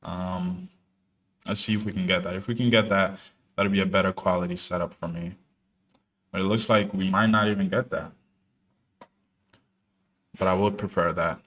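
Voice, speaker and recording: A man's voice: low voice, steady tone, normal speed, long pauses, a bit bright. A young man born in New Jersey, commenting casually on a live trading stream in a laid-back, slangy way with many fillers. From born in New Jersey, parents from Ghana. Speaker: day trader streaming live.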